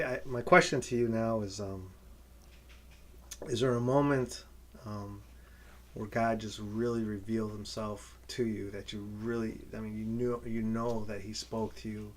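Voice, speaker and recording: A man interviewing a guest in an informal church setting, speaking in a halting, informal way. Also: fairly low pitch, steady tone, slight background noise.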